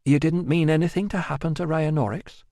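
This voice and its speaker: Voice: medium pitch, fairly even intonation, a little fast, dark tone, no room sound, studio quality, pitched above the performer's own voice, lightly acted. A narrator voicing a blunt, practical young woman who speaks curtly and firmly. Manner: curt, matter-of-fact, firm. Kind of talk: audiobook acting.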